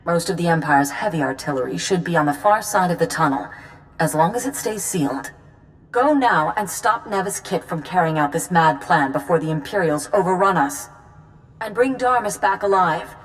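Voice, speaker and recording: An actress playing a composed military commander in a video game, formal, measured and authoritative. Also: female voice, lowish voice, lively intonation, lightly acted, low-quality recording. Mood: firm command. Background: game music.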